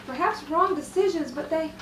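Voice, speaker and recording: A woman's voice: high-pitched, normal speed, fairly bright tone. An actress playing an anxious young woman pleading with a suffering friend in melodramatic romantic drama style. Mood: distressed pleading. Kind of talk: 1950s-style romantic radio drama acting. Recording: roomy, distant, faintly noisy stage sound.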